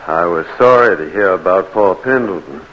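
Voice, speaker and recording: An actor playing a bitter, cynical Southern cousin in a 1940s radio drama, speaking in a sneering, accusing way. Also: male voice, deep voice, lively intonation, moderate pace, dull audio, slight background noise.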